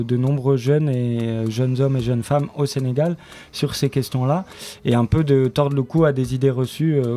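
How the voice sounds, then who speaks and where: Mellow, hesitant man in interview. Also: mid-range voice. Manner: hesitant, halting delivery. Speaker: studio member presenting an audio fiction series, interview guest. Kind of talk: spontaneous radio interview.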